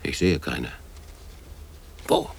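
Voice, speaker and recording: An actor playing a gruff, weary man in a radio drama, muttering tersely as he humors a child. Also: very slow.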